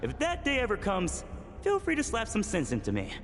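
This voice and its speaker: An actor playing an obsessive, defiant young experimenter in a video game, intense, self-assured and dramatic, heard in a cavernous space. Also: male voice, very high voice, wildly swooping pitch, brisk, creaky, noisy background, heavily acted. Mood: breezy, assured.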